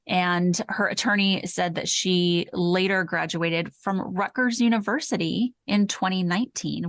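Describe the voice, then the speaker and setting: A woman's voice: fairly low pitch, gravelly, fairly bright tone, no echo. A woman co-hosting a true crime podcast, articulate and conversational as she moves between notes and discussion. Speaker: podcast co-host.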